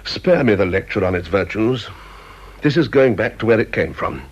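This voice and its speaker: A cold, officious senior British government scientist in an old radio drama. His voice is low and husky, with clipped, sarcastic delivery. The recording is dull and muffled. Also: animated, moderate pace. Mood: angry, scornful. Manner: clipped, interrogating, sarcastic, reprimanding.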